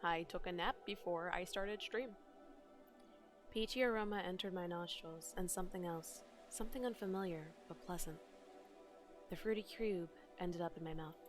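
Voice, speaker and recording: A woman's voice: lowish voice, normal speed. A woman streamer reading game dialogue aloud and translating it, in a dramatic narrating manner. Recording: streamed with game music behind.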